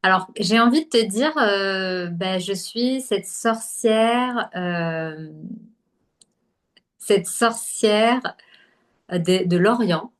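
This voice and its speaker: A woman from Algeria, a podcast guest, talking casually with many pauses and fillers. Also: mid-range voice. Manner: hesitant, many fillers and restarts. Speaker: podcast guest, holistic nutrition coach. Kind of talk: casual conversational interview.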